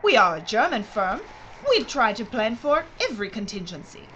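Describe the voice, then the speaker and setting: Haughty, high-voiced German company woman, played by an actress. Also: sing-song, moderate pace, sharp tone, muffled recording, a little hiss or hum. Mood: brisk, businesslike. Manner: precise, formal, lecturing. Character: brisk, haughty German mining company woman, secretive and impatient. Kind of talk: audio drama acting.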